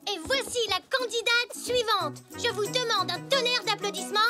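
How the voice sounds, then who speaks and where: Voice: very high-pitched, normal speed. A performer voicing a cheerful little zebra boy in a children's cartoon, eager and playful like a show host. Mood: eager, showy. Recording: music behind the voice.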